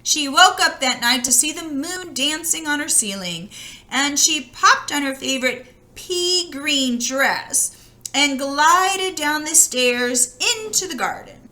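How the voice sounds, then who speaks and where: A woman reads a storybook aloud in a high, bright voice. Her delivery is expressive and conversational, with the chatty feel of someone sharing the book with listeners. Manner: expressive, conversational, pausing to comment. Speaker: storybook reader.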